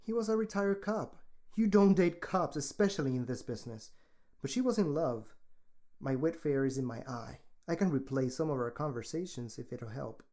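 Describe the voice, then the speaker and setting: A man's voice: on the high side, animated, moderate pace, dark tone, natural voice. A man playing a guarded, matter-of-fact morgue technician who is grieving a friend, in an audio drama. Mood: subdued, troubled.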